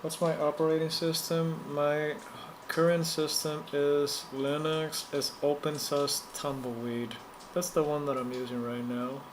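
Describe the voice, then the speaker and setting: A man from Puerto Rico with a Puerto Rican Spanish accent, a native Spanish speaker, hosting a live stream and chatting haltingly while he works, repeating words and chanting to himself. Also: fairly high pitch, little pitch movement. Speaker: livestream host. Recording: noticeable background noise.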